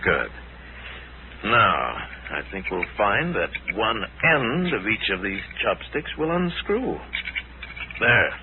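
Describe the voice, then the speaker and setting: An actor playing a calm, knowing crime-fighting lama in a 1940s radio drama, measured and courteous as he explains. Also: fairly low pitch, phone-quality sound, heavily acted. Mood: composed. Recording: thin, noisy 1940s radio recording.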